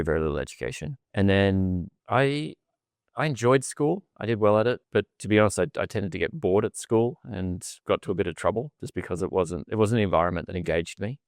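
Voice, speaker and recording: A middle-aged Australian man with a fairly low, smooth and clear voice, recorded dry and close on a studio mic. He talks in a relaxed, chatty way, often repeating his words. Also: dry recording. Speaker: podcast guest. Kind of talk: casual storytelling interview.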